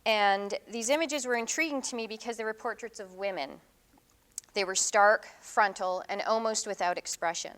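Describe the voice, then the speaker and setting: A middle-aged woman presents her own artwork in a relaxed lecture. Her voice is smooth and clear, sitting at an ordinary pitch. She talks in a thoughtful, casual way, often pausing with ums and restarting her sentences. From Canada. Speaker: visiting artist giving a lecture. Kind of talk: artist talk presenting her work.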